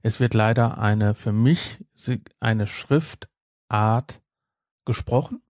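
A man's voice: on the low side, slow pace, darkish tone, dry recording, clean studio sound. A man chatting casually as a podcast host, explaining things in a rambling way and correcting himself.

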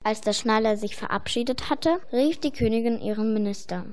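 A woman reads as narrator of a children's fairy tale. Her voice is fairly high, and the delivery is scripted storytelling. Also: a little fast, a little mellow.

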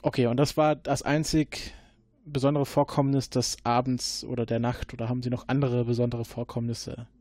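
Man, tabletop player, halting questions, lots of ums. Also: mid-range voice, level tone, a little mellow, no room sound. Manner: hesitant, many fillers, interrogating. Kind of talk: playing an investigator in a tabletop game.

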